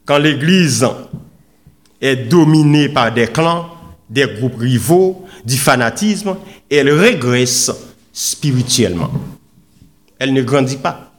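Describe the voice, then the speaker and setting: A man preaching a sermon in an oratorical, emphatic manner, with deliberate pauses and repeated phrases. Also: medium pitch, lively intonation. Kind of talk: sermon with scripture readings and rhetorical questions.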